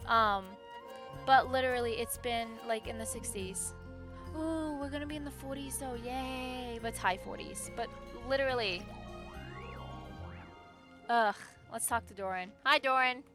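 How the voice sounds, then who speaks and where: A woman's voice: a little high, measured pace, over music. A young adult woman, chatting casually and in a rambling, informal way as a game streamer. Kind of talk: casual livestream chat and game commentary.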